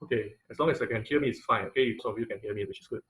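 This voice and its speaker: A man teaching an online class in an informal lecture style, with halting, hesitant phrasing and repeated words, speaking over a video call. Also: medium pitch, a bit bright, slight background noise. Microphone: computer mic on a video call.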